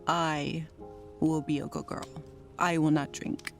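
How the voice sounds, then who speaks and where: An actress playing an emotionally volatile, lonely, newly sober wife in a theatrical audio drama monologue. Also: female voice, sits low, little pitch movement, slow pace, gravelly, faint music behind, exaggerated voice. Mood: wistful, confiding. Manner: theatrical, swinging between outbursts and confiding murmurs.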